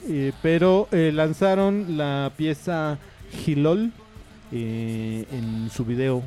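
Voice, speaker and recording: A man hosts a loose radio chat, wandering from one aside to the next and leaning on fillers. His voice sits a little high, with a mellow, dark tone. Faint music plays under the talk in the radio studio. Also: very slow. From Mexico. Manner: rambling, chatty, many fillers and asides. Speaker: radio host. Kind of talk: casual on-air chat.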